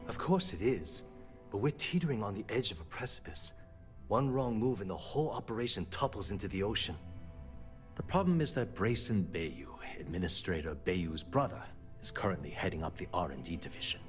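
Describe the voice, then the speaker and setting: An actor playing a frustrated, principled personnel manager in a sci-fi video game, speaking in an earnest, articulate, exasperated way. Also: male voice, lowish voice, animated, fairly quick, soft background music, natural voice. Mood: worried, exasperated. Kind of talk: scripted game dialogue.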